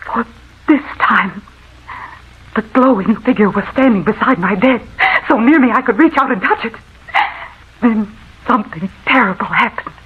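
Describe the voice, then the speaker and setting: An actress playing a nervous, haunted wife, telling of her ghostly terror in a halting, confessional way, in old-time radio horror style. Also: fairly high pitch, lively intonation, husky. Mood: haunted, dread. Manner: dramatic, halting, confessional first-person narration. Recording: muffled, noisy old radio recording.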